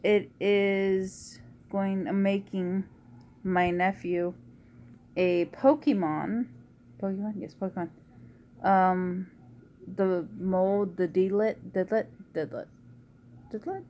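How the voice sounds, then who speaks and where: A woman with a quiet, low voice chatting casually in a rambling home vlog. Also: steady tone, takes it slowly, pauses often, slight background noise. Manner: rambling, soft-spoken, uses y'all. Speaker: vlogger. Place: at home indoors.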